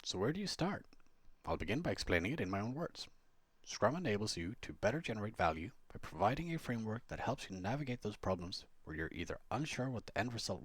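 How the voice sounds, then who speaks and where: A man with a fairly low, husky voice narrates an instructional script. His intonation moves around a lot as he explains things in measured steps with conversational asides. The sound is close and dry. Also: no echo. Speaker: blogger and Scrum trainer reading own blog post.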